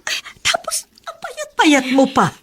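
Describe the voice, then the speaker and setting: Blunt relative, very lively pitch. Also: female voice, a little slow, exaggerated voice. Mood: blunt, probing. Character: blunt, probing woman relative. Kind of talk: Filipino radio drama acting.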